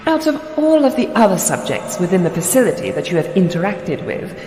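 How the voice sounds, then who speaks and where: A woman playing a detached research doctor questioning a captive in a formal, measured way, in a fan comic dub. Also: fairly low pitch, big pitch swings, background noise. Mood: detached, probing. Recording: echoey, long reverb, loud music behind. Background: music.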